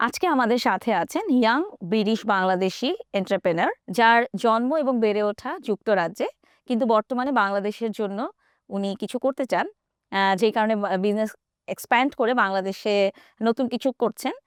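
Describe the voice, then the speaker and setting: A woman hosting an interview, mixing two languages as she asks quick questions. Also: a little high, clean tone, dry recording, studio quality. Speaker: show host.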